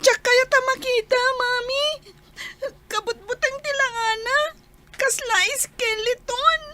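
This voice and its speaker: A woman's voice: very high-pitched, fairly even intonation, slow pace, background noise. A woman with an Ilocano accent playing a frightened, whiny little child in a radio comedy drama. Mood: fearful, whiny.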